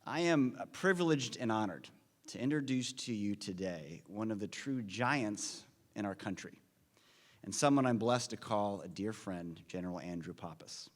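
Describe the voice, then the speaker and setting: A man giving an earnest, prepared introduction of a guest speaker at a conference forum, in a measured delivery. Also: fairly low pitch, lively intonation. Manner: earnest, measured delivery, reads off names and figures. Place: large conference hall. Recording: long reverb of a large hall.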